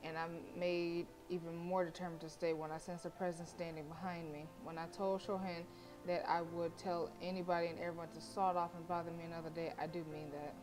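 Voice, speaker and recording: A woman game streamer reading visual novel narration aloud, expressive, with casual blunt reactions. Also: on the low side, brisk, over music.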